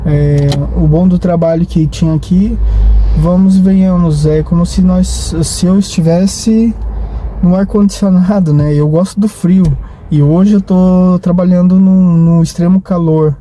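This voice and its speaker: A man's voice: sits high, steady tone, unhurried, warm tone. A man, vlogging casually in a rambling, hesitant way full of restarts and tag questions. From Brazil. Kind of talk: casual vlog talk. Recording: noisy, with traffic behind.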